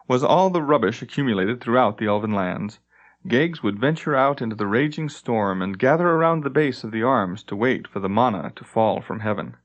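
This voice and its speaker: A man's voice: medium pitch, dull audio. A man reading scripted fantasy narration as an audiobook narrator.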